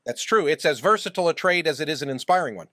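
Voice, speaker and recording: A man playing an eccentric, stoic oddball in an audio drama, speaking with formal, earnest deadpan. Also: a little high, fast talker, no room sound, studio quality. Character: eccentric, stoic male hot-sauce maker in a trailer.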